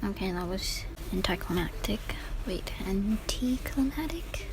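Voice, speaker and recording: A woman giving casual, slangy and excitable reaction commentary while playing through a game's story. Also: mid-range voice, a little slow, noisy background. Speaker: gamer reacting to story quest.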